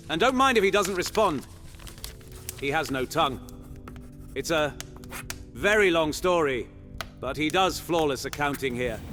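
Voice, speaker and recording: A male actor voices an enthusiastic knightly tour guide in a fantasy audio drama. His voice sits a little high and he chatters proudly, exclaiming as he explains. Music plays clearly behind him. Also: a bit bright. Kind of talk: immersive fantasy audio roleplay acting.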